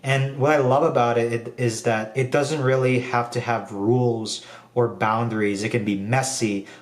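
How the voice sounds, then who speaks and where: A man's voice: mid-range voice, normal speed, far from the mic. Chatty young man in an echoey room. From Philippines. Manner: relaxed, rambling, frequent um and like fillers. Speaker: video diarist. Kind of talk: casual personal vlog. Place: room at home.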